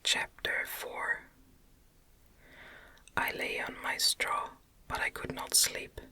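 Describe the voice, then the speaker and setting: Woman whispering very softly and slowly. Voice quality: breathy, unvoiced whisper. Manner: gentle, measured. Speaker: audiobook narrator. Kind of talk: ASMR whispered reading of a gothic novel.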